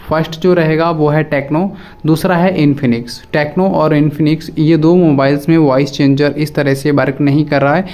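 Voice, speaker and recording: A man's voice: on the high side, darkish tone. A man presenting a casual step-by-step phone app tutorial, addressing viewers directly and often repeating his instructions.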